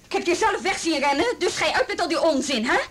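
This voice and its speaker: A woman's voice: very high voice, lively intonation, fast talker, fairly bright tone, slight background noise. An actress in a radio drama playing a stern, sarcastic policewoman, brusque and commanding as she questions a suspect. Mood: scolding. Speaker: police interrogator. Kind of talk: Dutch radio drama acting.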